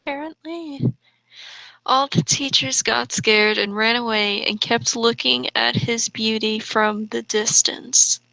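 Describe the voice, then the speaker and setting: A woman co-hosting a comic read-aloud of trashy fiction, deadpan as she sounds out every mistake as written. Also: on the high side, slow pace, vocal fry, no echo, rough recording. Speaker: co-host reading bad fan fiction aloud.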